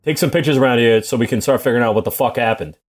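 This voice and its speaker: A man playing a gruff, practical army captain with a commanding, matter-of-fact manner in a comedic radio play. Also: mid-range voice, rapid-fire, lightly acted.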